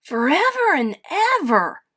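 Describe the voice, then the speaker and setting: A woman's voice: mid-range voice, sing-song, slow. A woman voicing a cheeky, defiant young boy bear from a storybook, bold and exclamatory. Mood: excited.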